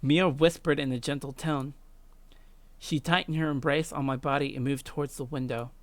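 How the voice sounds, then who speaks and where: A man's voice: mid-range voice, fairly even intonation, moderate pace, no echo. A man hosting a let's play, reading a visual novel aloud in a dramatic way and swearing casually.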